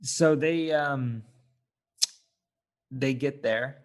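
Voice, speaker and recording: A man co-hosting a casual film review podcast, talking haltingly as he searches for words. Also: mid-range voice. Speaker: podcast co-host.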